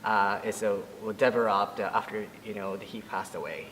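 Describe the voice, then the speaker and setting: Japanese-accented young man, halting answers. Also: on the low side, steady tone, a bit bright, in a large hall, slight background noise, poor audio. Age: 20s. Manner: halting, many fillers and restarts. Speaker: Buddhist priest. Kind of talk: interview answers.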